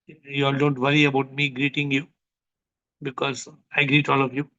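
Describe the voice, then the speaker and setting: A man hosting an online spiritual group session over a video call, lively and chatty, his voice a bit tired from long speaking the day before. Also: medium pitch, normal speed. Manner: lively, greets many participants by name. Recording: dull lo-fi video call audio.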